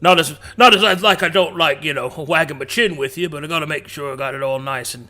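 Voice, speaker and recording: A man's voice: on the high side, quick pace, bright, forceful tone. A man voicing a male demon who brews poisons, talking in a rambling, folksy, old-fashioned way. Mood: reassuring. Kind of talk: tabletop roleplay in character.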